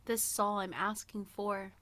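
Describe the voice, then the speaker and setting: A woman's voice: medium pitch, little pitch movement, moderate pace, pushed and bright, no echo. A woman co-hosting a podcast, chatting casually in an informal, playful, slangy way. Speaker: podcast co-host. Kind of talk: casual banter and song guessing game.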